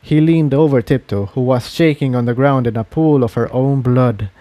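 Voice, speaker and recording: A man's voice: medium pitch, normal speed, dark tone, no echo. A man reading an adventure story aloud as a scripted dramatic narration. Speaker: fan fiction reader and narrator.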